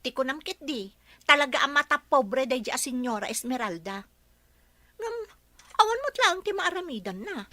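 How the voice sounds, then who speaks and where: A woman's voice: high voice, big pitch swings, moderate pace, sharp tone, no echo, exaggerated voice. A woman acting an outspoken, indignant, disapproving friend in a radio drama. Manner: exclamatory, disapproving.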